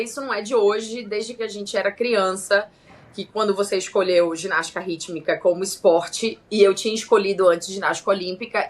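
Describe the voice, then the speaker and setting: A woman from Salvador with a Bahian accent, co-hosting a podcast as a journalist and presenter in lively, chatty talk. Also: on the high side. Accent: Bahian Brazilian Portuguese. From Salvador, Bahia, Brazil. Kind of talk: casual chat. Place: home studio, remote recording.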